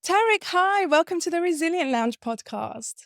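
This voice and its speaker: A young woman hosting an interview podcast, curious and lively, asking follow-up questions. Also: very high-pitched, normal speed, dry recording, clean studio sound. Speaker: podcast host. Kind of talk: interview podcast, scripted intro then chat.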